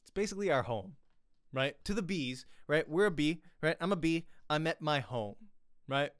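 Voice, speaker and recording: A man, teaching an informal acting lesson in an animated, conversational way, often checking in with "right?". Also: a little high, normal speed, no room sound, studio quality. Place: small home room, indoors. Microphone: close desk microphone, headset on.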